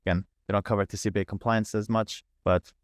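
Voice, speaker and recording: A man's voice: lowish voice, little pitch movement, fast, dry recording, studio quality. A man leading a live online training, talking informally through setup steps, repeating himself and thinking aloud. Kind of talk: casual live screen-share walkthrough. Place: live online video call.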